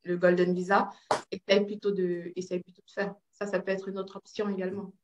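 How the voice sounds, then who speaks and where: A woman's voice: mid-range voice, flat delivery, fairly quick, noisy background. A woman from Cameroon, a real estate agent, giving an online presentation with many fillers and restarts. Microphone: video call. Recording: roomy, dull video-call audio.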